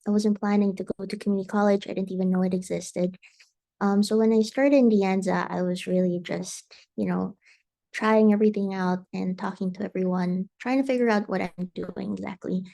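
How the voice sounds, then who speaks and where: A young woman from Indonesia answers panel questions in a casual, detailed way, giving advice with frequent ums. Her voice is heavily creaky and mellow rather than bright. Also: mid-range voice, fairly even intonation, brisk, rough recording. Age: young adult. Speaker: transfer student panelist.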